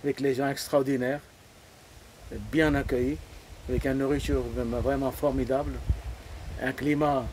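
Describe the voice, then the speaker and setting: A man of about seventy, from Pakistan and speaking, answering interview questions in an earnest, halting way and often repeating words as he searches for them. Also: mid-range voice, flat delivery, a little mellow, slight background noise, poor audio. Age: around 70. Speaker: interview guest.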